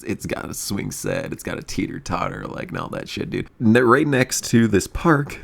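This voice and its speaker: Male comedic storyteller, swooping animated delivery with gravelly edge, loose, sweary, stumbling repetitions. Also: deep voice. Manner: rambling, repeats himself, stammering for effect. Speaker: storyteller and video creator. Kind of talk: casual, profane comedic storytelling.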